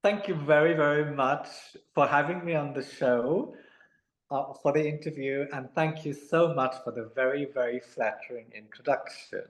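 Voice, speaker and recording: Man in an echoey room. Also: on the high side. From South Africa. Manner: measured, with many ums and polite warmth. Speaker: guest, amateur tennis player. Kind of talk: relaxed interview answers.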